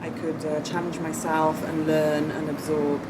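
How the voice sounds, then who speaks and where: Low-pitched woman over loud background noise. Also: flat delivery, a little slow. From Wales, UK. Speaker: director of an arts organisation, interviewee. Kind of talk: unscripted interview, explaining own work.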